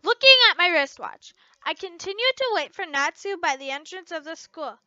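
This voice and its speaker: A woman with a bright, high voice reads a story aloud in an expressive first-person style. She is a little halting and stumbles on words. The recording is dry and slightly dull, like a lo-fi home setup. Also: no echo. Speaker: amateur fan fiction reader.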